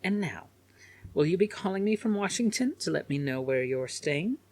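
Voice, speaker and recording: A man's voice: sits high, animated, moderate pace, pitched above the performer's own voice. A man voicing an elderly, kindly and dignified woman with a gracious, formal manner in an audiobook. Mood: concerned.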